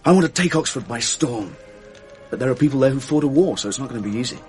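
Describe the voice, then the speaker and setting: A man plays an English public schoolboy in his late teens for a radio play. He is earnest, languid and self-deprecating, with a mid-pitched voice and faint music behind him. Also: expressive, fast talker, close to an everyday voice. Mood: resigned, affectionate. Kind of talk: 1980s radio drama acting.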